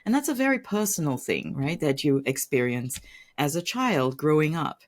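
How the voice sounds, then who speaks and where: A woman's voice: low-pitched, sing-song, normal speed. A woman from Singapore, a guest on a podcast, telling her career story in a reflective, fluent way, often adding "right?" and "you know". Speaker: tech executive. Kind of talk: casual interview storytelling.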